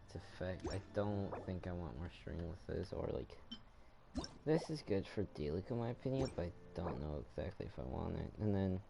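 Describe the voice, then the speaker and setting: A man's voice: deep voice, gravelly. A man giving casual gameplay commentary, rambling as he thinks aloud to himself. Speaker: video game streamer.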